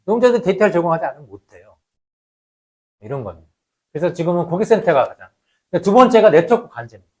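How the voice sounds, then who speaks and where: A man giving an explanatory lecture in a conversational, emphatic way, posing questions and answering them himself, often dropping quieter at the ends of phrases. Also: sits high, sing-song, fast, pauses often. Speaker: lecturer, labour researcher.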